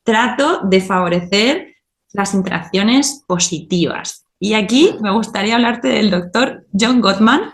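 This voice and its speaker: A woman's voice: medium pitch, a little slow, roomy sound. A woman hosting a podcast, explaining ideas step by step and often checking in with her listener. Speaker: podcast host and teacher.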